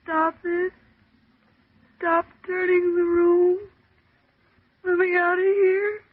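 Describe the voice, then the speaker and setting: An actress playing a frightened young office worker trapped in the dark, in melodramatic old radio style. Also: female voice, very high voice, flat delivery, slow pace, dry recording. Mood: panicked, pleading. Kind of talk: 1940s radio acting. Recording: muffled, phone-like old radio drama recording.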